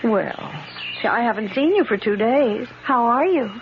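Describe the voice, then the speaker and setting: Blunt sceptical older lady in a radio play, really expressive pitch, a bit muffled and hissy. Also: normal speed. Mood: concerned, probing. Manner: probing, plain-spoken, emphatic. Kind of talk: radio drama acting. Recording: dull, noisy vintage radio drama recording.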